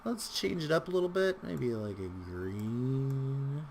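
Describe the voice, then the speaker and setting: A man hosting a casual software tutorial, talking in a rambling, informal way with wry asides. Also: mid-range voice, lively intonation, slow, gravelly, slight background noise. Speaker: tutorial host.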